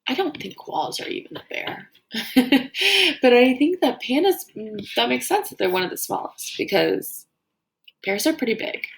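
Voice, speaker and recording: A woman teacher doing an educational read-aloud for children, explaining as she reads and pausing to wonder aloud and ask questions. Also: fairly high pitch, very animated, vocal fry. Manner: explanatory, pauses to wonder aloud and pose questions to young listeners. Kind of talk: educational read-aloud of a children's nonfiction book with think-aloud comments.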